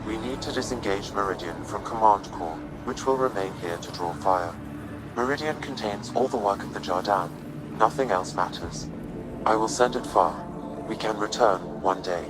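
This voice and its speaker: An actor playing a calm, precise artificial intelligence in a video game, speaking in a measured, formal, impassive way. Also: male voice, lowish voice, noisy background, natural voice. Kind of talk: video game cutscene acting.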